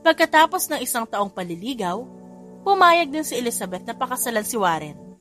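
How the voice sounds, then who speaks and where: Female audiobook narrator, steady read over faint music. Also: fairly high pitch, a little fast. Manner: steady storytelling, reading quotes and tags.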